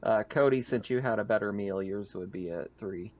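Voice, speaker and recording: A man's voice: on the low side, moderate pace, a little mellow. A man acting as game master, casually explaining rules to players in a conversational way. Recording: dull lo-fi voice recording.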